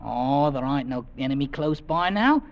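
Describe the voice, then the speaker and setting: A man acts out a cocky Cockney lad of the regiment in a vintage radio play. His pitch jumps about in a very lively way, and his tongue is sharp and bossy. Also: moderate pace, muffled recording, lightly acted. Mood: bossy, scornful. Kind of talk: old-time radio drama acting.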